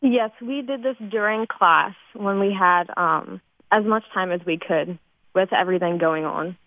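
A teenage girl speaks at an average pitch, explaining things in plain casual talk as a student guest. The sound is narrow and tinny, like a phone call. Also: a little slow, dry recording. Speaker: student cast member being interviewed.

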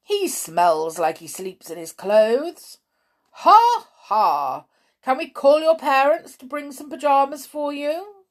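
A woman's voice: sits high, expressive, takes it slowly, pitched above the performer's own voice. A woman voicing a cruel, bossy hospital matron from a storybook, with a sneering, theatrically sarcastic delivery. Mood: disgusted, demanding. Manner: theatrically scornful, sarcastic, snapping.

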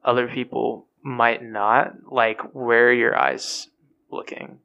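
A man's voice: medium pitch, takes it slowly, gravelly, lo-fi sound. A man in casual chat among friends, talking in a loose, rambling way full of fillers. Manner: relaxed and rambling, full of fillers, laughs at own stories.

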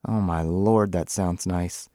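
A man's voice: low-pitched, steady tone, takes it slowly, mellow, no room sound. An outspoken wife voiced by a male reader in a short story, weary and envious. The talk is blunt, colloquial and complaining, in a dry recording.

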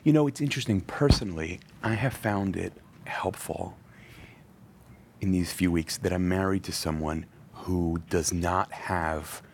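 A man's voice: sits low, a little slow, a little hiss or hum. A man who is a rabbi, speaking as a podcast guest in an earnest, reflective way, with the occasional wry aside. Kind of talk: thoughtful interview conversation.

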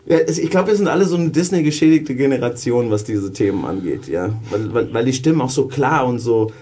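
A co-host chatting casually and excitably, quick to react and laugh. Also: medium pitch, slightly rough. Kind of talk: casual chat.

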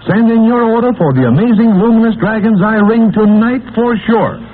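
A man's voice: high voice, fairly quick. A man announcing an old radio serial and reading its ad copy in a folksy, hearty salesman manner. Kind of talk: scripted radio announcing and ad read. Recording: tinny old radio broadcast with hiss.

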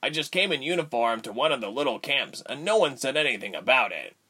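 A male reader plays a devoted man held prisoner in an adventure story. He talks quietly and earnestly, in a mid-range voice with expressive rises and falls. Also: mid-range voice, fast talker, husky, pitched above the performer's own voice, heavily acted. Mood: explaining. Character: devoted male captive, quiet, thoughtful, teasing.

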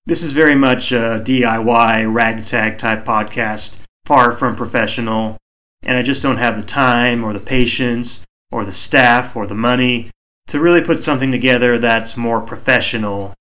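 Male solo podcast host, mid-pitched, soothing and measured, home mic. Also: bright, forceful tone. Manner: measured, reflective, deliberately slow. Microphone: home microphone.

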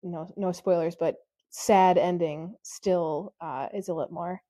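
A woman who teaches high school, talking casually about her classes in a mellow voice. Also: fairly even intonation, measured pace, dry recording.

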